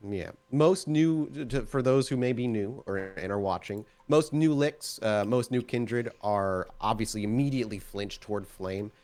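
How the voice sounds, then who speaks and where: A man's voice: medium pitch, normal speed, hardly any pauses, darkish tone. A man working as game master, improvising descriptive, explanatory narration for a tabletop game.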